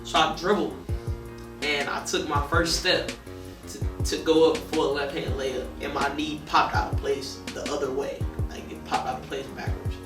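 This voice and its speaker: A young woman from with a deep, monotone voice tells a personal story in a relaxed, rambling documentary interview. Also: moderate pace. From Detroit. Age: young adult. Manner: laid-back, rambling, heavy with fillers. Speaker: college basketball player. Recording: roomy echo, distant mic, music behind.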